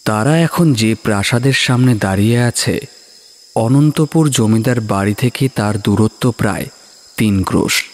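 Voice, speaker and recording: A man narrating a horror story in a measured, dramatic, suspenseful manner. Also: on the low side.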